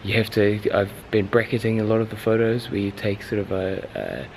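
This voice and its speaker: A man's voice: on the low side, little pitch movement, fairly quick, a little mellow, slight background noise, poor audio. A man, talking casually and enthusiastically to a handheld camera as a travel photography vlogger. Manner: enthusiastic, informal. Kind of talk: casual vlog narration to camera. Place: outdoors and inside large abandoned factory buildings. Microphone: handheld camera at arm's length.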